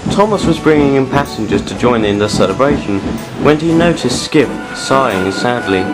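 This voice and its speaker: A man's voice: medium pitch, expressive, normal speed, loud music behind the voice, rough recording. A man delivering scripted storybook narration as the narrator of an audio drama.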